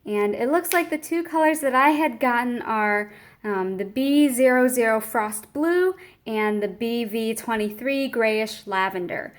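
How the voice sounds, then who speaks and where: A woman's voice: fairly high pitch, a little slow. A young woman casually talking through an art supply unboxing, with frequent ums as she reads out product details.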